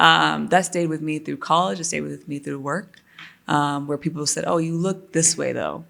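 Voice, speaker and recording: A woman's voice: low voice, steady tone, brisk, vocal fry, a bit bright. A woman talking as a podcast guest in casual interview chat, earnest and reflective, with frequent fillers and restarts. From United States.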